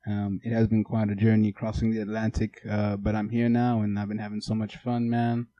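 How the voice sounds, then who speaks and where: Laid-back South African man, mellow voice. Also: lowish voice, completely flat delivery, fairly quick, dry recording, lo-fi sound. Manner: laid-back, laughs often, fills with "mm-hmm". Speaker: podcast co-host. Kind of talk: casual chat.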